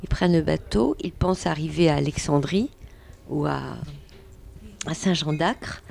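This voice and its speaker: Low gravelly woman author. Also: normal speed. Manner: earnest, animated retelling with quoted speech. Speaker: author being interviewed. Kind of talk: radio interview, storytelling.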